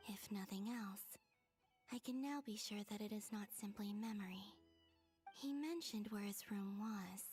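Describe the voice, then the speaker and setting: A woman voicing a gentle, anxious young woman in a video game, earnest and careful, in hushed breathy tones as if talking to herself. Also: on the high side. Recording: music behind the voice.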